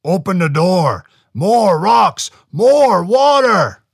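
A man voicing a huge roaring giant monster that speaks slowly and loudly. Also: very animated, vocal fry, slightly rough, no room sound, studio quality, full character voice. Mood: booming. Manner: slow booming roars.

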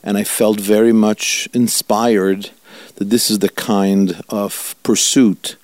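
Italian-born male rabbi, fifties, earnest and unhurried, low and warm, dry close sound. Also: little pitch movement, no room sound. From Italy. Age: 50s. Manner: earnest, measured, stretches key words slowly. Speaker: rabbi, guest. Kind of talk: reflective interview answers.

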